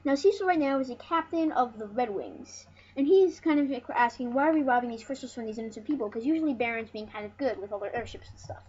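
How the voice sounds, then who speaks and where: A high-voiced speaker giving casual let's play commentary as host of a retro gaming series, rambling and often restarting phrases. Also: lively intonation, fast talker, darkish tone, breathy edge. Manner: rambling, repeats and corrects phrases. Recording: muffled, noisy home recording.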